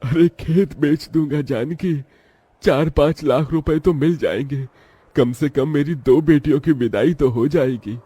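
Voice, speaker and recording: A man's voice: fairly high pitch, measured pace, warm tone, slightly rough, no room sound. A man voicing a poor, devoted village father of five daughters in a cartoon drama, talking earnestly and pleading. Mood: resolute.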